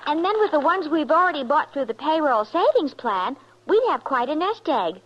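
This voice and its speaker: High-voiced nagging housewife, vintage radio. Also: female voice, big pitch swings, moderate pace, a bit bright. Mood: chatty. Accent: American. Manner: insistent, chattering. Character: nagging, chatty, exasperated American housewife. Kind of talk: 1950s radio advertisement sketch acting. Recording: muffled vintage radio recording.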